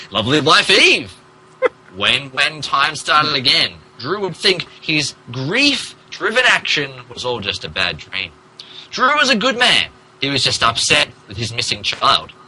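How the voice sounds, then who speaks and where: A man's voice: mid-range voice, sharp tone, slight background noise, poor audio. A man narrating a comic dramatic reading of fan fiction in a theatrical, emphatic manner. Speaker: podcast host reading as narrator.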